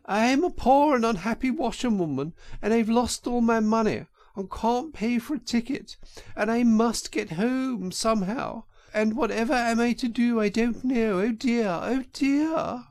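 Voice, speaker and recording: A man voicing a toad posing as a poor washerwoman in a shrill, sobbing, pleading falsetto for a storybook. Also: unhurried, darkish tone. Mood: tearful pleading. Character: toad posing as weepy, wheedling old washerwoman.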